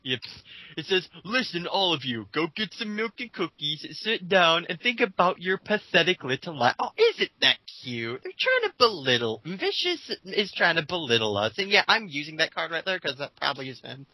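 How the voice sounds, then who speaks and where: Sarcastic male commentator, bright and husky, lively delivery for mocking read-alouds. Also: fairly high pitch, moderate pace, dry recording. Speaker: host of a dramatic reading.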